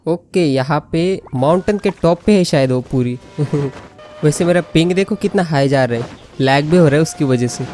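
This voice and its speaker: A woman's voice: sits low, racing, dark tone, slight background noise. A woman with an Indian accent giving casual, informal gameplay commentary as the host, reacting to the game in a giggly way. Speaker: gaming video host. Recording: game music behind commentary.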